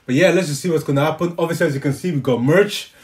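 A man's voice: fairly high pitch, rapid-fire. A young man hosting a reaction channel, chatting casually to camera from a room at home. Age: young adult. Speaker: YouTube reaction channel host. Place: small room at home, on a couch.

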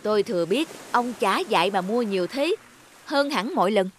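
A woman voicing a shrewd, businesslike male rice seller in an animated folk tale. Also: mid-range voice, moderate pace, close to an everyday voice.

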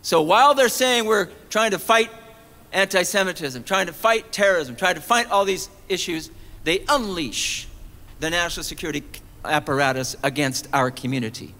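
A man's voice: high-pitched, normal speed, sharp tone. Impassioned man preaching at an outdoor rally. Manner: emphatic, rising on repeated rhetorical questions. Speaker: preacher giving a rally sermon. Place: outdoor colonnade of a city hall at a rally. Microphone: podium mic on a stand. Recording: long echo off stone colonnade.

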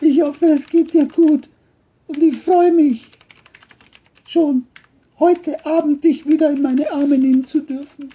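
A woman playing a doting elderly grandmother in an audio drama, speaking in a deliberate, formal, affectionate way. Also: high-pitched, fairly even intonation, dark tone. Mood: tender. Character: doting elderly grandmother leaving a loving note. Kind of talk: audio drama letter reading.

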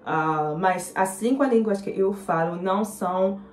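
A young woman in her twenties, a native French speaker with a French accent, chatting to camera with many fillers and pauses as she answers viewer comments. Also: medium pitch, a little mellow, reverberant, soft background music. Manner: hesitant, many fillers, switches into English. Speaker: vlogger. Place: small indoor room.

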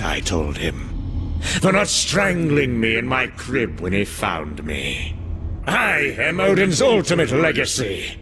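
A man voicing a sadistic, gleeful god of mischief in a dramatic comic-book performance, taunting and theatrical. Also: mid-range voice. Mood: menacing.

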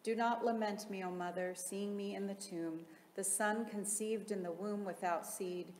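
A woman reading solemn liturgical hymns aloud in a measured, formal, chant-like delivery. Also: mid-range voice, fairly even intonation, a little mellow. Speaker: liturgical reader in church. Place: large reverberant interior. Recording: echoey reverberant hall, faint background noise.

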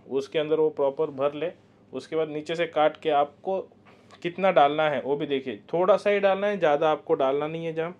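Guy explaining a recipe in a bakery kitchen, kinda high voice, patient and repeats stuff, muffled camera audio. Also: a little mellow. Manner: patient, instructive, repeats key warnings. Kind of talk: step-by-step cooking demonstration.

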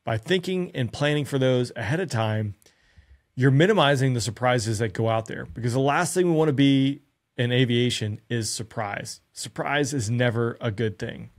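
An earnest man in his thirties talks straight to camera through a studio microphone in a small room. He coaches pilots in a conversational, practical way and keeps posing rhetorical questions. His voice sits at an ordinary middle pitch. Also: moderate pace. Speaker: former fighter pilot giving flying advice. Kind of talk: direct-to-camera instructional talk. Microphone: studio mic on stand in front of him.

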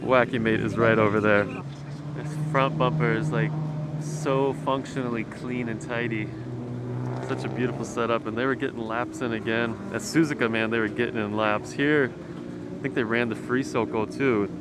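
Clear outdoor paddock noise surrounds a man with a mid-pitched voice. He talks like an enthusiast vlogger on a walk-around, informal and slangy. His delivery is casual and keen. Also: steady tone, moderate pace. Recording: noisy outdoor car paddock ambience.

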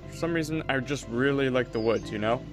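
A man playing an easygoing but firm father, a veteran exorcist in a Minecraft roleplay, talking in a relaxed, reassuring way. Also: mid-range voice, a little fast, faint music behind, close to an everyday voice. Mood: calm, fatherly. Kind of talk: improvised Minecraft anime roleplay.

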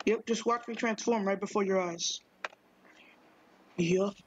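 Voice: medium pitch, steady tone, a little slow, dull audio, a little hiss or hum. A gaming streamer chatting casually and jokingly while playing, now and then singing a few words. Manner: playful, jokey, sings snatches. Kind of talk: casual game commentary.

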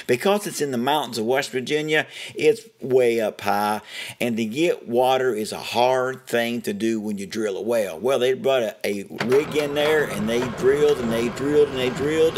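A middle-aged or older man with an Appalachian American accent, telling a story in a homespun way and prone to chuckling. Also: medium pitch. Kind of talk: folksy first-person storytelling.

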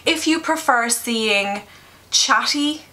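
Bright, chatty young woman, a bit nasal. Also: on the high side, slow, a little hiss or hum. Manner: candid, swears casually. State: nasal from a cold. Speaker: beauty vlogger. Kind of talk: chatty makeup tutorial. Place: small bedroom at home.

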